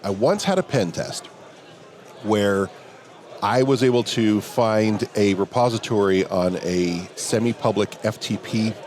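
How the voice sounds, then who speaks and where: A man with a big voice, a security professional telling a relaxed story during a hallway chat at a conference. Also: on the low side, measured pace. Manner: relaxed anecdotal telling, quoting others in dialogue. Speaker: interview guest, security professional. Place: outdoors at a conference venue.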